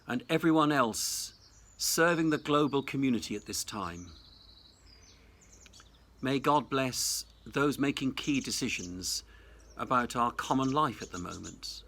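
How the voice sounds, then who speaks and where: Male cleric, unhurried pace, medium pitch, formal measured phrasing, reflective prepared address, birdsong behind. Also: animated, fairly bright tone. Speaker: Anglican cathedral dean giving Easter message.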